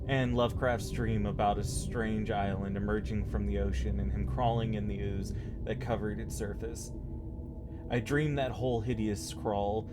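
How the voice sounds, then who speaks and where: A man streaming a game, commenting and reading text aloud. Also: on the low side. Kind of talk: let's play commentary, reading on-screen notes aloud.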